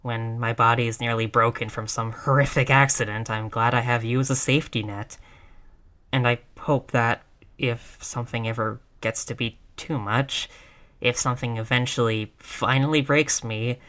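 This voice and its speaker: A woman's voice: rumbling low, fairly even intonation, moderate pace, a bit bright. A woman playing a tough, reckless girlfriend in a roleplay audio, brash but affectionate, with casual, sweary bravado. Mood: tender, sincere. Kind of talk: intimate roleplay audio acting.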